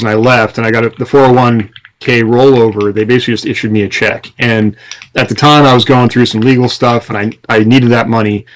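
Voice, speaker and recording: A man's voice: mid-range voice, low-quality recording. A man talking casually as a podcast co-host, explaining things and reflecting through personal anecdotes.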